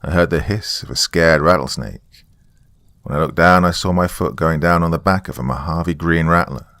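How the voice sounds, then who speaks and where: A man with a deep, low voice narrates a scripted story in the first person. His delivery is that of a dramatic horror storyteller. The sound is dry, with very little room around it. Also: normal speed, fairly bright tone, dry recording.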